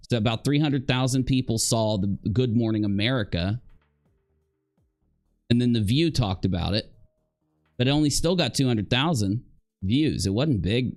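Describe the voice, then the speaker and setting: A man commentates casually on a livestream in a middling-pitched voice. He thinks aloud in a halting way and often turns his points into questions. Music plays softly behind him. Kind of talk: casual live reaction commentary.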